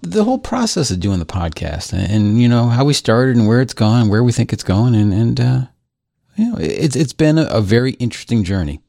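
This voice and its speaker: A man's voice: medium pitch, very animated, a little fast, gravelly, dark tone, dry recording. A man co-hosting a podcast in casual chat, rambling and self-deprecating with frequent restarts, close on a dynamic mic at home. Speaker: podcast co-host. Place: home studio, recorded locally over Skype. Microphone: large-diaphragm dynamic mic, close.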